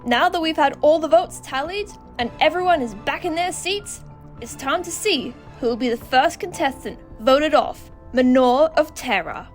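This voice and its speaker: A high-voiced, theatrical host of a spooky animated contest, acted by a woman. The delivery is showy and mocking, stretching out announcements, with faint music underneath. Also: moderate pace. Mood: suspenseful. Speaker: game show host. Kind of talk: scripted animated show announcing.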